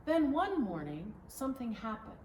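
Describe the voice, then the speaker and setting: A woman reading a children's story aloud in a measured, expressive storytelling manner, recorded at a distance in an echoing room. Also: fairly high pitch. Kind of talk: children's picture-book read-aloud. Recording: roomy echo, distant mic, background noise.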